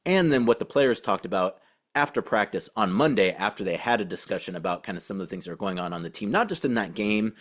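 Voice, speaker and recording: Low-voiced man hosting a sports podcast. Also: animated, quick pace. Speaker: podcast host and team beat reporter. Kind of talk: casual sports commentary monologue.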